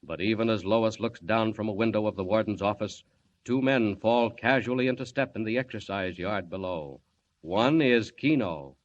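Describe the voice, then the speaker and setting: A man narrating a scripted radio serial in a dramatic, measured 1940s announcer style. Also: fairly low pitch. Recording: dull old radio recording.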